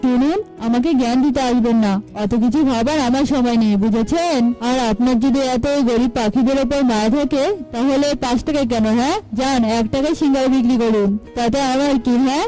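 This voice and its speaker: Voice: high-pitched, brisk, few pauses, mellow, soft background music, close to an everyday voice, rough recording. A performer voicing a greedy, rude crow shopkeeper in a children's cartoon, haggling and scolding. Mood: scornful, irritated.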